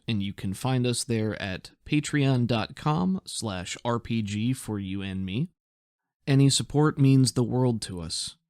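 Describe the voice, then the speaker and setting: A man's voice: on the low side, no echo, studio quality. A man narrating a tabletop game as game master and podcast host, in an informal, descriptive storytelling way.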